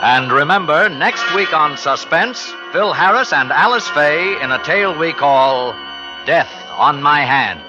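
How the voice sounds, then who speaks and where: Slow, dramatic male radio announcer over music. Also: a little high, lively intonation, rough recording. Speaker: suspense programme announcer. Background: prominent music.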